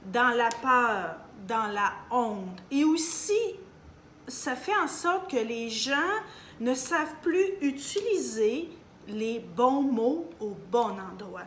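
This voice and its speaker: Woman, Canadian French accent, fairly high voice, emphatic opinion monologue with many hesitations, echoey room. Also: expressive, slow pace, fairly bright tone. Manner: emphatic, hesitant with many fillers, addresses viewers directly. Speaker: video commentator. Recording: echoey room, faint background hum.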